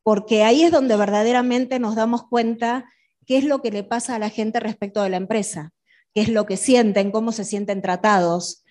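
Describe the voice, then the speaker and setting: A woman's voice: fairly high pitch, a little mellow. A middle-aged woman, presenting a business talk to an audience in a didactic, questioning way. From Argentina. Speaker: conference speaker.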